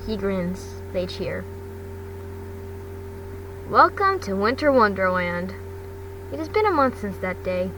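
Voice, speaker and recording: A woman reading fan fiction aloud in an amateur storybook style, often stumbling and repeating words. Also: a little high. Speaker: fan fiction podcast reader. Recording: noisy home recording, distant mic.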